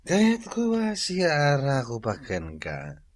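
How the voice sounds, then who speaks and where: A man's voice: mid-range voice, wildly swooping pitch, slow pace, heavily acted. A man with an Ilocano accent playing a drunken, jealous, clingy young husband in a radio drama, slurring and wheedling. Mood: tipsy, wheedling.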